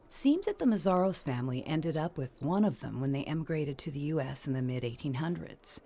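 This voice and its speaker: An actress playing a brassy, coaxing middle-aged ex-performer with slangy talk in a noir radio drama. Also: female voice, low-pitched, animated, a little fast, mellow. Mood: brisk. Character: Julie, worldly older female ex-performer, brassy, motherly pal. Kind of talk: 1950s-style noir radio drama acting.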